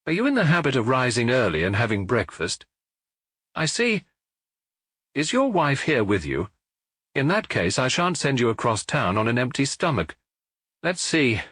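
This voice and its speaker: A man voicing a calm, courteous male spa doctor in a storybook reading, speaking in a measured, polite and reassuring way. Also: mid-range voice, breathy edge, dry recording, studio quality, pitched above the performer's own voice.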